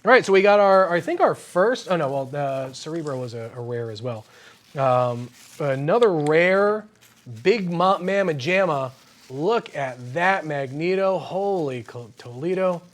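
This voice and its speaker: The excitable, slangy guy fronting a hobby unboxing video, voice pitched a little high, marveling over each new thing. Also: measured pace. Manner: enthusiastic, exclamatory, slangy. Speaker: host of an unboxing show. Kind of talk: casual, excited product unboxing chat.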